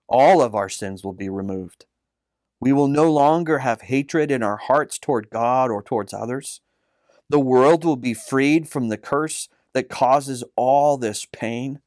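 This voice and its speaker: Man reading as a slow-spoken, devout old uncle. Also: mid-range voice, darkish tone, no room sound, studio quality, close to an everyday voice. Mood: gentle, reassuring. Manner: earnest, deliberate. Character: old uncle Simeon, devout, tender, hopeful storyteller. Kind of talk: storybook dialogue.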